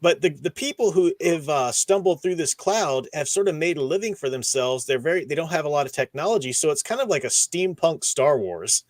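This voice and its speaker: A man's voice: on the high side, expressive, brisk, no echo. Man explaining things, close dry sound. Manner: explanatory, peppers talk with "you know". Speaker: host of a book discussion stream. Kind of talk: casual livestream discussion of comics and radio dramas.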